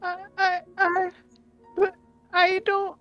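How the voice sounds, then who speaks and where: A grieving young boy in a tabletop roleplay, frightened and confused. The voice is extremely high and plaintive, tripping over words. Soft music runs behind it. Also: completely flat delivery, slow pace, lo-fi sound, full character voice. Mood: tearful, frightened. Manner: plaintive, stammering.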